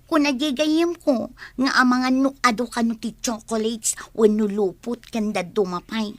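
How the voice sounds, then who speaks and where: A woman's voice: fairly high pitch, steady tone, a little slow, no echo, lightly acted. A woman with an Ilocano accent playing a spoiled, meddling, bossy daughter in a radio comedy drama, in a bratty, tattling way. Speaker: voice actor.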